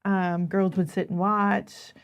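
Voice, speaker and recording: A North American woman talks as a podcast co-host, sharing memories informally. Her pitch is average for a woman, and her delivery is that of casual, personal conversation. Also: flat delivery, takes it slowly, a little hiss or hum.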